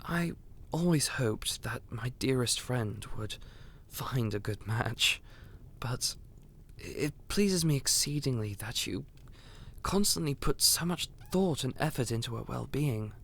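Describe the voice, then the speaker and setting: Casting: male actor as devoted, well-mannered palace aide for fantasy roleplay; courtly diction, slow deliberate delivery. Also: mid-range voice, expressive, vocal fry, fairly bright tone, full character voice. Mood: gracious. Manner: formal, courtly, measured. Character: courteous, loyal royal aide and dear friend to a queen. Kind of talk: fantasy audio roleplay acting.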